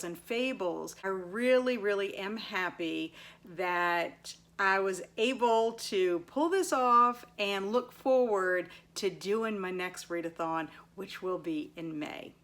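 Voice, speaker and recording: A woman's voice: mid-range voice, fairly bright tone. A woman giving a casual book review, recapping stories as a host and stressing words with emphasis. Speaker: teacher.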